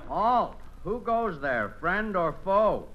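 A man's voice: sits high, very animated, takes it slowly, exaggerated voice. An actor playing a solemn old vault guard in a 1950s radio comedy, drawing out his challenges. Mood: challenging. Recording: muffled, very noisy radio recording with audience.

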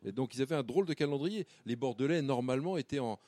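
Man, football pundit, casual analysis, medium pitch, dry studio sound. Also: expressive, rapid-fire, mellow, no room sound. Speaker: football commentator panelist.

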